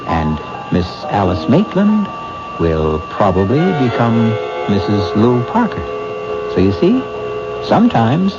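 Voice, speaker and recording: A man hosting a radio mystery show, wrapping up the story in a theatrical, lingering way. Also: medium pitch. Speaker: host and narrator. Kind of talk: radio mystery host outro. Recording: dull old radio broadcast with loud hiss.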